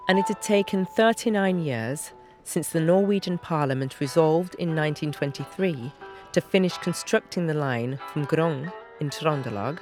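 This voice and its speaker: Mid-pitched narrator over prominent music. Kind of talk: scripted documentary narration.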